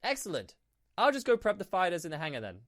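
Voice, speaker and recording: Man playing a cautious imperial officer, very lively intonation. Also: high voice. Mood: cautious, dutiful. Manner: formal, measured advice-giving. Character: cautious, deferential male imperial officer, formal and dutiful. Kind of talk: amateur sci-fi roleplay acting.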